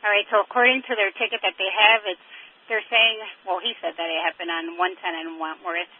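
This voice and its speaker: The sound is a thin, phone-like scanner feed with clear static and clicks. A man with a high voice speaks as a police dispatcher. He passes along information in procedural radio phrasing. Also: level tone, brisk. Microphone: two-way radio heard over a scanner.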